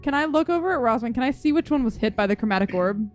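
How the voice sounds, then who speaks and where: A woman's voice: a little high, expressive, fast talker, faint music behind. A woman playing a tabletop game, casually chatting and describing what her character does.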